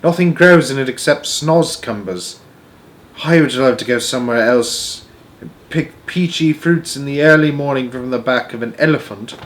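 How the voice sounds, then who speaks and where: A man voicing a gentle, wistful storybook giant with muddled, ungrammatical folksy speech. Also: medium pitch, normal speed, pitched above the performer's own voice, lightly acted. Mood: dreamy. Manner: ungrammatical, jumbled made-up words.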